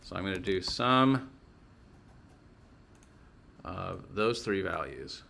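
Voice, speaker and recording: A man's voice: low-pitched, expressive, takes it slowly, vocal fry, noisy background. A man teaching a casual hands-on technical lesson, informally thinking aloud as he works through each step.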